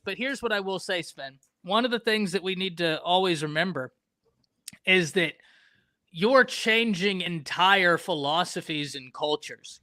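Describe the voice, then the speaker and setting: A man from Texas hosting a casual live-stream sports talk show, animated and talking to chat viewers by name. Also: sits high, no room sound.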